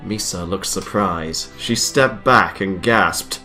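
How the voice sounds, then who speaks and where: A man's voice: deep voice, slow pace, bright, forceful tone, soft background music. Man reading a story with mocking asides. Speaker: reader, host. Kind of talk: comic dramatic reading.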